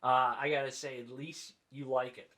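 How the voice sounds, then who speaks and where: A man's voice: mid-range voice, little pitch movement, normal speed, a bit bright, a little hiss or hum. Chatty man hosting an interview. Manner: chatty, lots of fillers and restarts. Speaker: podcast host. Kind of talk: casual interview.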